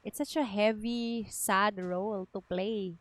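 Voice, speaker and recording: A woman's voice: mid-range voice, fairly even intonation, slow, a bit bright, slight background noise. A woman with a Filipino accent giving casual livestream commentary on a game, switching between languages as she goes. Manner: code-switches between Tagalog and English. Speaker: game streamer.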